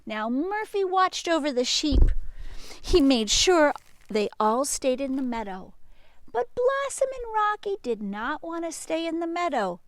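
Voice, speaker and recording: A woman reads to young children in a fairly high, highly animated voice. She takes her time, speaking like a teacher at storytime. Her delivery is playful and expressive, with dramatic emphasis. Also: unhurried, no echo, low-quality recording. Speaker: teacher reading aloud to children. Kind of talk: animated children's read-aloud, addressing young listeners.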